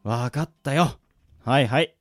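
A man's voice: mid-range voice, a little slow, no room sound, clean studio sound, faint music behind, close to an everyday voice. Man acting a grumbling, blunt young guy. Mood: doubtful, curious. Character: grumbling, unlucky, skeptical casual young man. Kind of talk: Japanese radio drama acting with monologue.

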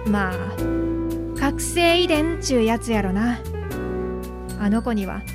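A blunt, brash woman played by an actress speaks in Kansai dialect at a high pitch. She teases freely in an audio drama, with music playing underneath. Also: animated, takes it slowly. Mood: matter-of-fact.

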